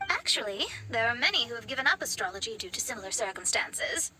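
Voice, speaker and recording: An actress voicing a proud, boastful young astrologer in a video game, with a smug and haughty manner. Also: female voice, fairly high pitch, expressive, quick pace, sharp tone. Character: proud, boastful young woman astrologer, self-declared genius.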